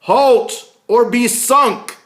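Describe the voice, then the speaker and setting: A man voicing a commanding sea captain in a storybook, shouting drawn-out orders. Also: extremely high pitch, voice pushed up, heavily acted. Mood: fierce command.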